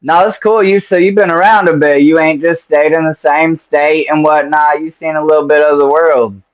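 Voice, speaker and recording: A man hosts a casual call-in interview in a relaxed, rambling, slang-heavy way. His voice sits a little high. The audio is dull and lo-fi. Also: normal speed. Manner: laid-back, slangy, rambling. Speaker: interview host. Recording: dull lo-fi sound.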